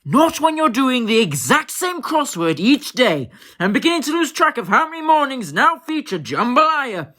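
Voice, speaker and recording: A woman's voice: sits high, sing-song, moderate pace, hardly any pauses, fairly bright tone, exaggerated voice. A woman playing a pompous, exasperated family man and local politician in a comedy audio drama. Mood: grumpy. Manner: blustering, exasperated. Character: pompous, grumpy husband and father, local politician. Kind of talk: hobby comedy murder-mystery audio drama.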